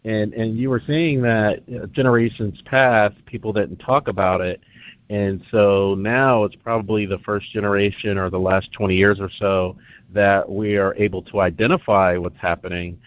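A man's voice: lowish voice, fairly even intonation, moderate pace, no room sound. Rambling male interview host. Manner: rambling, hesitant questions. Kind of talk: interview questions. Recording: dull lo-fi sound.

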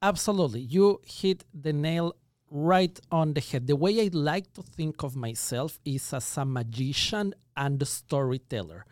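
A man from Ecuador in his thirties with an Ecuadorian Spanish accent, speaking as a guest in an interview and explaining his ideas in careful, measured phrases. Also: fairly high pitch, expressive, a little mellow, no echo, clean studio sound. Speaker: guest magician. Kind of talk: reflective conversational interview.

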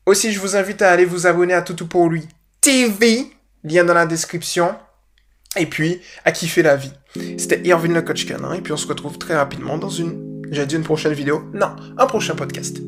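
A man's voice: a little high, fairly quick, over music. A man with a slight Guadeloupean French accent hosting a dog-training podcast, giving chatty, enthusiastic advice and poking fun at himself.

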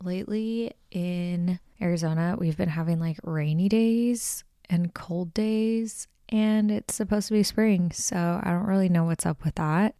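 A woman's voice: on the low side, measured pace, few pauses, dark tone, clear, resonant tone, no echo, studio quality. Chatty, slightly nasal podcast host woman. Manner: chatty, rambling, frequent "like" fillers. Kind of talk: casual solo podcast chat.

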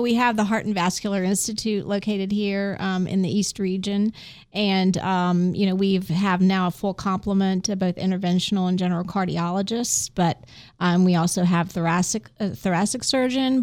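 A woman's voice: lowish voice, darkish tone, dry recording. A woman speaking as an organization's representative in a promotional interview style. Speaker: guest, hospital marketing executive.

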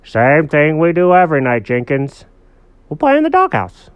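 A man's voice: a little high, huge pitch swings, moderate pace, clean tone, no echo, muffled recording, pitched above the performer's own voice. A man voicing a brash, chummy, bossy pet dog in a children's story, talking emphatically. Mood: cheerful.

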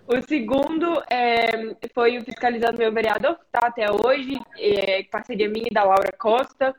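A woman's voice: a little high, normal speed, poor audio. Young Mineiro woman hosting a live interview. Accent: Mineiro Brazilian Portuguese. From Belo Horizonte, Brazil. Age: 20s. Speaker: host. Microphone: home setup, streamed live.

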